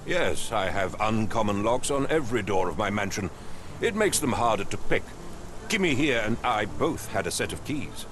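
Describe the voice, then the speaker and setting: Middle-aged male English captain for a game: pompous, curt, slightly deep, outdoor noise behind. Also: very animated, moderate pace. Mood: dismissive. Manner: pompous, clipped, condescending. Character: pompous, impatient male English captain, haughty wealthy master. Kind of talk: video game voice acting.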